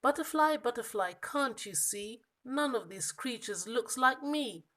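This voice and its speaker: A woman voicing a small, lost young monkey from a children's storybook, plaintive and exasperated, with emphatic, drawn-out protests. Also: fairly high pitch, animated, bright, forceful tone, exaggerated voice. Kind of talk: children's storybook character reading.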